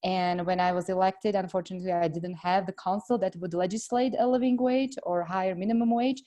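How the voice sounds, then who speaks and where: Slovak accent woman, low-ish mellow voice, earnest, lots of uh's. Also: a little fast. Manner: earnest, steady, frequent "uh" fillers. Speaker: congressional candidate. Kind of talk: interview answers.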